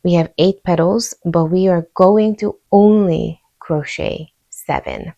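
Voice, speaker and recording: A woman's voice: lowish voice, takes it slowly, creaky, mellow, lo-fi sound. A woman with a Hungarian accent narrating a step-by-step craft tutorial in an easygoing, informal way. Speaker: host of a crochet tutorial channel.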